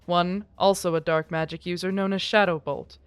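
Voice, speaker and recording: A woman's voice: fairly low pitch, fairly even intonation, moderate pace, clean tone, no echo, soft background music, close to an everyday voice. A woman playing a stern, self-righteous military commander, making a formal, pompous public proclamation in an audio drama. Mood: accusing, official.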